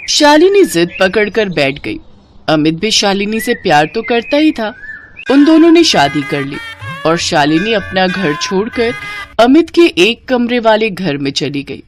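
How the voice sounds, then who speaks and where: A woman's voice: mid-range voice, sing-song, unhurried, faint music behind. A woman narrating a scripted children's moral story as storyteller.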